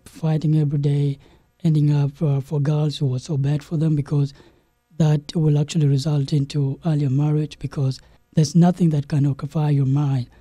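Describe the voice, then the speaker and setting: A young man from South Sudan, a game developer guest in an interview, telling his story in a halting way with many restarts and fillers. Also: on the high side, mellow, dry recording. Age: young adult.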